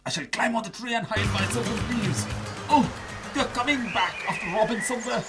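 A man voicing a poor, excitable young woodcutter in an animated children's story, full of exclamations. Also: very high voice, a little fast, music in the background. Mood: excited.

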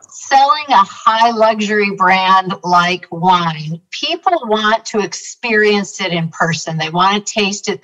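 A woman's voice: mid-range voice, fairly bright tone, recorded from a distance, dull audio. A woman from a winery speaking in a podcast interview, earnest and explanatory. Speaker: winery representative.

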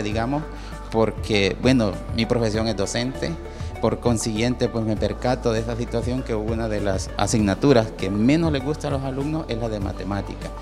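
A middle-aged man, a parent and teacher who speaks haltingly, with fillers and restarts, in an interview with a handheld mic. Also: medium pitch. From El Salvador. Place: university event hall, indoors.

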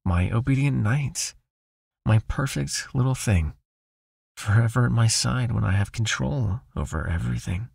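A man playing a possessive, seductive vampire master in an ASMR hypnosis roleplay, murmuring breathily and slowly in an intimate, coaxing, softly commanding way. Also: medium pitch, lively intonation, gravelly, slightly rough, no room sound, studio quality, exaggerated voice. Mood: smooth, coaxing.

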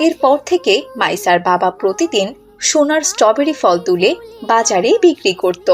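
A woman's voice: mid-range voice, lively intonation, moderate pace, faint music behind. A woman narrating a scripted children's cartoon story.

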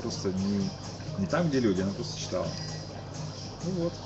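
A tired young man from Kyiv answering questions in a casual live-stream interview outdoors, speaking haltingly with many restarts. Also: on the low side, very animated, loud music behind the voice. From Kyiv, Ukraine. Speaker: interviewee, musician. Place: outdoors at a street protest camp. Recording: lo-fi stream with loud outdoor ambience.

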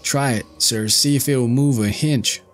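A man's voice: medium pitch, moderate pace, soft background music, pitched above the performer's own voice, lightly acted. A man voicing a nervous, fawning male ship's steward with a stammering, wheedling manner. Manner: stammering, wheedling, dropped aitches.

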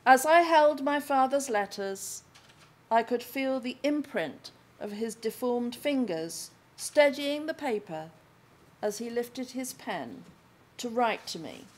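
An older woman from England reading a passage of her own book aloud at a lectern in a lecture room, in a deliberate, phrase-by-phrase delivery. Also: fairly high pitch, expressive. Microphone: lectern microphone.

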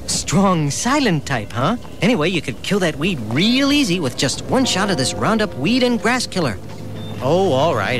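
A man presenting a commercial as a mock street interview, chatty and teasing. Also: a little high.

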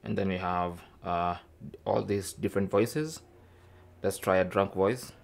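A man's voice: deep voice, fairly even intonation, unhurried, vocal fry. A man hosting an informal tech tutorial, explaining things casually step by step. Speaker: host of a tech tips show.

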